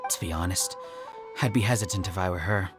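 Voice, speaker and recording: Grumbling townsperson, video game, casual, uneasy, very deep, music behind. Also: female voice, brisk. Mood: suspicious.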